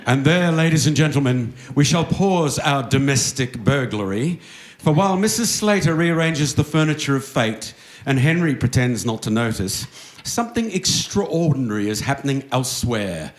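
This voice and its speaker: A man's voice: mid-range voice, lively intonation, close to an everyday voice. Man playing a droll radio narrator, echoey stage. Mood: wry. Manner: wry, measured, dramatic pauses. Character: droll, grandly theatrical radio play narrator. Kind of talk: 1940s radio play narration. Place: stage before a live audience. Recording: echoey live stage pickup.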